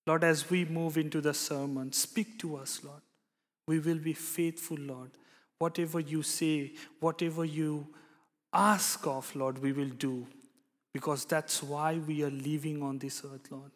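Young guy from india preaching in a church, slow and halting, lots of uhs, voice kinda high, big hall echo. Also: level tone. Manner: halting, many fillers and restarts. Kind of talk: sermon with prayer. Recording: long hall reverb.

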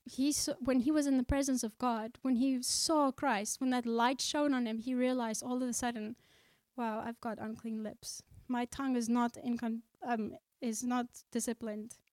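A woman preaching a sermon in an earnest way, often restarting a phrase and adding gentle asides. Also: on the high side, level tone, normal speed, hardly any pauses, no room sound.

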